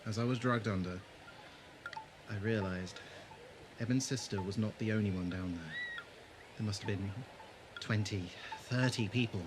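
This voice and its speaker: An actor playing a grave, resolute adventurer in an audio drama, explaining things deliberately and intensely. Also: male voice, fairly low pitch, close to an everyday voice. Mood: haunted, intense. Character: grave, resolute adventurer leading his companions. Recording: clearly noisy background ambience.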